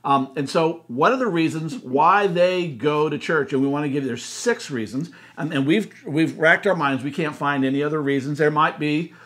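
Male co-host, 60s, American. Slightly raised pitch, conversational consultant explaining with emphasis and self-corrections. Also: normal speed, few pauses, fairly bright tone. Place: indoor room, seated beside co-host.

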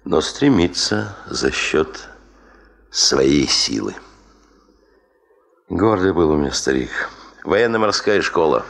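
An actor playing a proud, driven, stubborn expedition chief in a 1970s Soviet radio play, emphatic and self-assured. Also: male voice, extremely low pitch, sing-song, slow, vocal fry, long pauses, fairly bright tone, full character voice. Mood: proud, measured.

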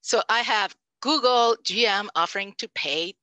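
A Puerto Rican woman in her fifties, a native Spanish speaker, giving an animated, emphatic panel talk over a headset microphone on a video call. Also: medium pitch, moderate pace, no room sound. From Puerto Rico. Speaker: panelist, language professor. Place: home room, indoors. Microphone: headset mic.

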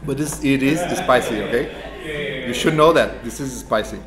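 Man, slow, expressive, background music. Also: mid-range voice. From Brazil. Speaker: head of operations tasting food. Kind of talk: casual on-camera reactions. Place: indoor studio set at a table.

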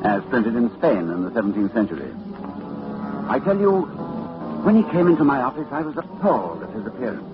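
Scholarly librarian narrator, played by a man, animated, dull radio sound with music behind. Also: mid-range voice, close to an everyday voice. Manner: hesitant, halting when reading. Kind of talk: 1940s radio acting.

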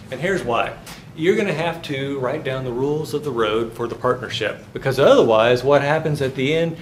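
A man in his fifties giving a live university finance lecture, chatty, asking the class questions and answering them himself. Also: a little high. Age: 50s. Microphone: far camera, no visible mic. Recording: roomy classroom, faint background noise.

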